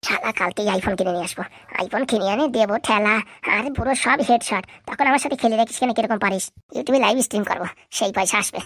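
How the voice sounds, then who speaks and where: A woman's voice: mid-range voice, quick pace, fairly bright tone, no room sound. A woman voicing a cocky, lazy young male thief in a comedy cartoon, brash and slangy, full of bragging. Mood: boastful.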